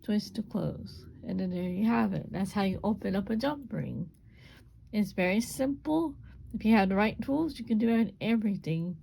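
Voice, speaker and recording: A woman with a gravelly, hoarse voice demonstrates a small craft step by step. Her talk is relaxed and unscripted, with plenty of repeats as she works. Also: mid-range voice, moderate pace, slight background noise, rough recording. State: voice going hoarse. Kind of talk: casual hands-on craft demonstration.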